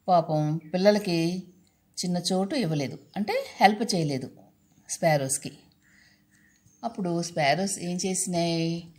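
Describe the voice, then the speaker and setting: Female storyteller for kids: lower-pitched, instructive, repeats key words and checks in with questions. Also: a little mellow. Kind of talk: children's storytelling.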